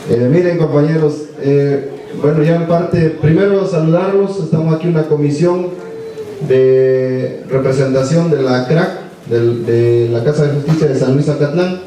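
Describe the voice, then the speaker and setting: A man with a Mexican accent makes an informal address to an assembly as a delegate. His voice is a little high with a soft, dark tone. The sound is distant and strongly reverberant. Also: normal speed. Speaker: community police delegation representative. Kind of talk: informal greeting speech to an assembly. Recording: very echoey, distant microphone.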